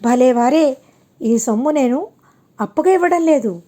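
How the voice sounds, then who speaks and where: A humble, open-handed older family man from a moral storybook , voiced by a woman. He speaks kindly and reassuringly, in a high, softly mellow voice. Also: expressive, a little slow. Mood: generous, reassuring. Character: generous, humble older family patriarch, newly reformed.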